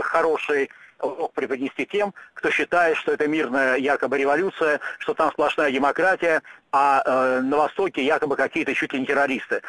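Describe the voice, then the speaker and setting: A man answering questions in a radio interview, speaking in firm, emphatic statements broken by frequent "uh" pauses. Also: fairly high pitch, a bit bright, no room sound, low-quality recording.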